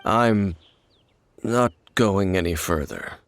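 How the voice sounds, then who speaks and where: Low-voiced brooding, aloof swordsman. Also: slight background noise. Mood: aloof, cautioning. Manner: grave, measured, formal. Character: brooding, aloof mysterious swordsman, wary and world-weary. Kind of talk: video game voice acting. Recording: game music behind.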